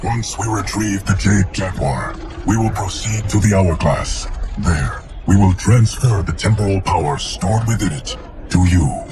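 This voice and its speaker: An actor playing an ancient, loyal steward of time in a video game, speaking in a measured, formal, solemn way. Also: male voice, sits low. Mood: calm, grave. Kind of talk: video game cinematic acting. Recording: music behind the voice. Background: music.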